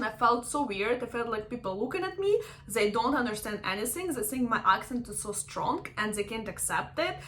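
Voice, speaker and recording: A woman's voice: mid-range voice, pushed and bright. A young woman from Ukraine with a Russian accent, encouraging her viewers in casual talk to the camera and often checking in with "right?". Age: young adult. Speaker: vlogger.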